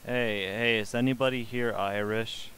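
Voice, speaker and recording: A man's voice: fairly low pitch, steady tone, a little slow. A young man streaming a social VR game, chatting casually and politely pestering the strangers he meets. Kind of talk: casual gaming commentary.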